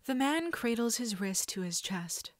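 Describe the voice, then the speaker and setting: A woman reading a story aloud as scripted dramatic narration, expressive and at times dropping to hushed tones. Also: a little high, unhurried, no echo, studio quality. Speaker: fan fiction audiobook reader.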